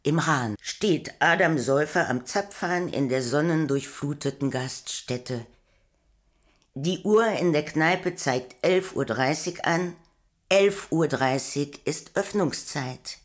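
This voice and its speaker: A woman narrating a poetic radio play from a script in a measured, deliberate way. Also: low-pitched.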